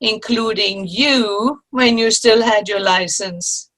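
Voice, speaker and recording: A woman playing a sharp-tongued, sarcastic older friend in a comic play reading. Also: mid-range voice, slow, poor audio. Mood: needling. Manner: dry, sarcastic, needling. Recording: video call play reading.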